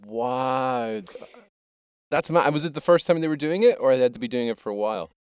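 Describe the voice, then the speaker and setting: A man's voice: medium pitch, sing-song, fairly quick, vocal fry, smooth, no echo. A man hosting a casual interview, curious and reactive as he asks questions. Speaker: interviewer, host.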